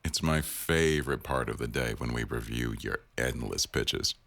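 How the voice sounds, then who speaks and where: A man playing an attentive, dry-witted male news boss in an intimate boyfriend ASMR roleplay, speaking in a measured, gently teasing way. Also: extremely low pitch, creaky, no echo, exaggerated voice. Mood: dryly teasing. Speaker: audio roleplay performer.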